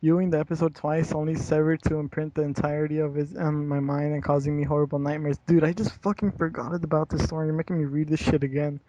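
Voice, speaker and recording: A man's voice: fairly high pitch, level tone, fast, few pauses, warm tone. A young man reading a horror story aloud and chatting casually, with slangy, profane language. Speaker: story reader. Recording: dull lo-fi home recording, roomy.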